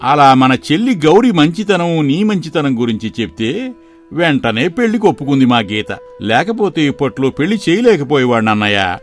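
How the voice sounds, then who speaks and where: A man voicing a kindly middle-aged father in an animated story, fond of his daughter and stern when he scolds. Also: fairly high pitch, moderate pace, soft background music. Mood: gentle.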